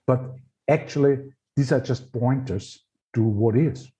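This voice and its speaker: A man's voice: medium pitch, a little slow, mellow, husky. A man with an Austrian accent, speaking thoughtfully and searching for words in English. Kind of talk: casual spiritual conversation.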